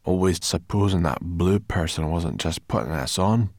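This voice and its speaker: A man's voice: deep voice, normal speed, darkish tone, dry recording, clean studio sound. A man voicing a wary, skeptical female brownie who grumbles her doubts.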